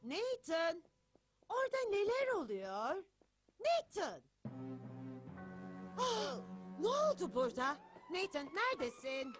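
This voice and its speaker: A fussy cartoon mother. Her voice is very high and lively, rising and falling with motherly exasperation, over background music. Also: takes it slowly, pauses often, heavily acted. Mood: alarmed, stern. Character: exasperated, scolding cartoon mother of a mischievous boy. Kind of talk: cartoon dub acting. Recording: music behind the voice.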